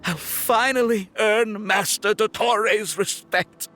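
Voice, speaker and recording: A man's voice: high-pitched, takes it slowly, sharp tone, soft background music, exaggerated voice. An actor playing a smug, scheming young male villain in a video game, theatrical and gloating, drawing out his emphasis. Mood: bitter ambition.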